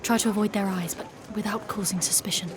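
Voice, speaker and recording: An actress playing a proud, guarded female knight in a dark fantasy audio drama, with formal, commanding, measured diction. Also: medium pitch, steady tone, crowd noise, natural voice. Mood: wary.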